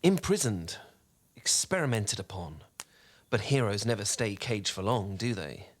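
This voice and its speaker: A man playing a charming, learned wizard who tells a bedtime story in a soft, velvety, theatrical and slightly pompous voice, in a soothing fan roleplay. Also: on the low side, expressive. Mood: hushed, dramatic. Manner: theatrical, eloquent, unhurried, gently pompous.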